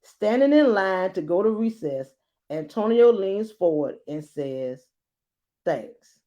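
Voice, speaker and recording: A woman reading a storybook aloud like a teacher, adding expressive explanations and checking in with her listeners. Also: mid-range voice, a little slow, muffled recording. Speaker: children's book read-aloud teacher.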